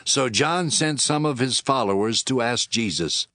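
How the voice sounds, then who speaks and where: A man's voice: medium pitch, a little slow, bright, forceful tone, dry recording, studio quality. A man narrating scripture from a script, as the narrator of an audio drama.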